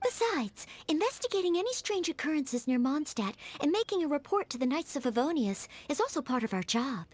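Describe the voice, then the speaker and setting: A woman playing a bright, earnest young female knight in an anime video game. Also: sits high, very animated, brisk, few pauses.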